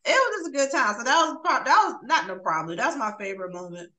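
A woman in her forties co-hosting a podcast, chatting casually in a laid-back, drawn-out way. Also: on the high side, lively intonation, bright, forceful tone, echoey room. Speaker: podcast co-host. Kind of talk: casual chat.

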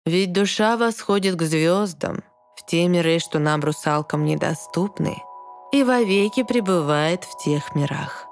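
A slow, wise old mermaid grandmother. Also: fairly low pitch, soft background music. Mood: solemn explaining. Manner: measured, explanatory. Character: wise old mermaid grandmother, calm, kindly, matter-of-fact. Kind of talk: verse audio fairy-tale acting.

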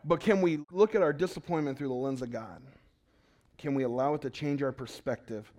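A man preaching a sermon in a conversational way, asking his congregation questions and swinging between loud, urgent lines and hushed ones. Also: mid-range voice, fairly quick. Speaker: pastor.

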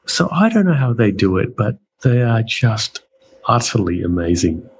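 Gravelly man chatting enthusiastically. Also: on the low side, very animated, normal speed, a little hiss or hum. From Malaysia. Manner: enthusiastic, laughs often. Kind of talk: casual chat.

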